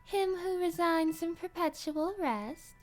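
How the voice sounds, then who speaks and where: Woman doing a high uncanny witch. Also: slow, soft background music. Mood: cryptic. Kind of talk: fan audio drama acting.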